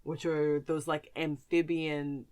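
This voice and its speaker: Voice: medium pitch, flat delivery, slow, darkish tone. A podcast host in casual fan chat, gushing and slangy, with frequent swearing.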